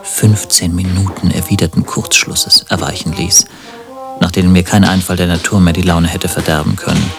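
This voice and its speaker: An actor playing a lovelorn, self-pitying hypochondriac in a German radio play, with ornate, wry phrasing. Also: male voice, low voice, little pitch movement, normal speed, vocal fry, over music. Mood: wistful, wry. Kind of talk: German radio play acting, literary monologue and dialogue.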